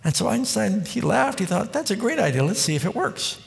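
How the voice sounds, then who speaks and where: A man's voice: high-pitched, big pitch swings, brisk, vocal fry. A man delivering a sermon, quoting scripture and telling stories with acted-out dialogue in a folksy way. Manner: folksy storytelling, quotes scripture, acts out dialogue. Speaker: preacher. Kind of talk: sermon with storytelling. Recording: long hall reverb.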